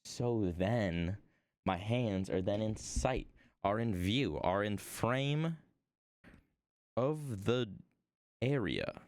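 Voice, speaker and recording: Chatty man with a lively, swinging voice. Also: very slow, long pauses, no echo. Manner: rambling, self-deprecating, lively swings in pitch. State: hoarse. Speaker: art streamer. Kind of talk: casual stream chat. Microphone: desk microphone.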